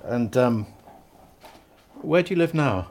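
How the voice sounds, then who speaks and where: An awkward hesitant vet. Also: male voice, mid-range voice, expressive, takes it slowly, darkish tone. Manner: hesitant, awkward, full of ums. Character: awkward well-meaning village vet, middle-aged man. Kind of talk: radio drama acting.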